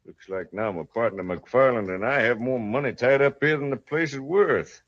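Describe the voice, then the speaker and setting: An actor playing a folksy, cautious, regretful moneylender in an old-time radio western. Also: male voice, mid-range voice, normal speed, lightly acted. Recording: muffled vintage radio drama recording.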